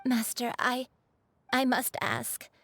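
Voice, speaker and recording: Gentle, slow-spoken female disciple. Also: a little high, no echo. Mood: earnest, emotional. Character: gentle, humble female disciple, earnest and grateful. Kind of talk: scripted video game voice acting.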